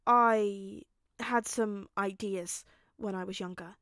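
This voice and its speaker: A woman voicing a remorseful supervillain father in an audiobook, speaking slowly and haltingly with tender, pained emotion. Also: mid-range voice, steady tone, fairly bright tone, no room sound, studio quality. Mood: regretful.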